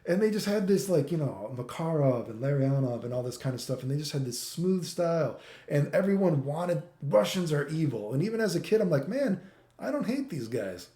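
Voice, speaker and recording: A man's voice: on the high side, expressive, brisk, few pauses, mellow. A middle-aged man from Canada giving an off-the-cuff, opinionated rant, exasperated and emphatic, with repetition, rhetorical questions and swearing. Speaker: gaming commentator. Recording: echoey, roomy sound.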